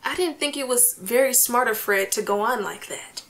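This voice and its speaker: A woman playing a patient, placating wife in an old-time radio comedy, soothing and gently pleading. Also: on the high side, moderate pace. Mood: reassuring. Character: patient, placating wife smoothing over quarrels.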